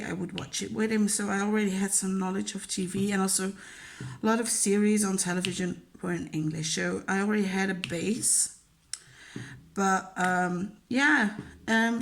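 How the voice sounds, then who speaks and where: Young Flemish woman, casual vlog chat, laughs a lot, middle pitch. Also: gravelly. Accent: Flemish Dutch. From Belgium. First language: Dutch. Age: young adult. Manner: chatty, rambling, laughs often, many fillers. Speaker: vlogger and teacher. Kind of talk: casual chat answering a question tag.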